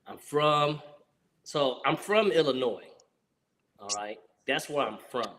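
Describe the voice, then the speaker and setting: A man in his forties, a Marine veteran from San Diego, telling stories in a casual chat on a video call. He talks to the audience in an animated way and laughs often. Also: medium pitch, takes it slowly, slight background noise. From San Diego, USA.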